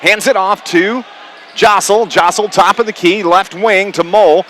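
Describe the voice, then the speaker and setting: Man, high-pitched voice, radio sports announcer, clipped play-by-play phrasing, noisy gym behind him. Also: steady tone, measured pace, fairly bright tone. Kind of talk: live basketball commentary. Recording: noisy live gym broadcast. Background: gymnasium ambience, bouncing basketballs.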